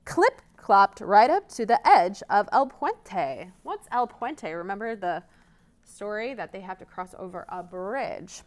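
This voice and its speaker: A woman reading a children's story aloud as a library storyteller, expressive, pausing to ask and explain. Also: medium pitch.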